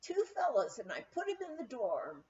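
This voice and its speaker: Woman acting out a cheerful, high-pitched folksy cook. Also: wildly swooping pitch, normal speed, darkish tone, lo-fi sound. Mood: cheerful, bossy.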